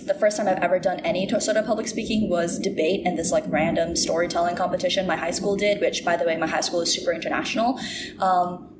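A woman in her early twenties, raised in Shanghai and Singapore, talks through her story in a loose, conversational way. She fills her sentences with "like" and "um" and circles back as she goes. A faint noise sits under the recording. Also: medium pitch. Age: 20s. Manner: chatty, says "like" often, many fillers. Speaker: interview guest. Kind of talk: casual interview chat.

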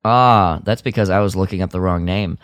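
A man's voice: sits low, fairly quick, fairly bright tone, dry recording. A man co-hosting a podcast, chatting casually and sometimes searching for his words. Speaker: podcast co-host. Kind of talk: casual chat about Star Wars lore.

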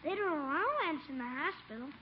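Very high-pitched curious little child. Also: female voice, lively intonation, quick pace, a little hiss or hum. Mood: blunt. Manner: blunt, inquisitive. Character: small curious child in hospital, bluntly interrupting. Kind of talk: 1950s radio comedy acting. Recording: dull old 1950s radio recording.

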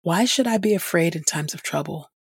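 A woman host reading Scripture aloud in a scripted devotional style, with measured, clearly phrased delivery. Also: on the low side, expressive, dry recording, clean studio sound. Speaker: podcast host and Scripture reader.

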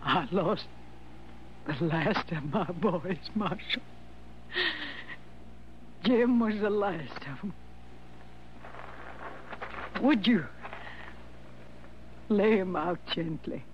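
A woman's voice: lowish voice, animated. A woman acting a proud, mournful older mother in a 1950s radio western. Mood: grieving. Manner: slow, mournful. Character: grieving, proud old Southern mother. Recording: dull, noisy old radio recording.

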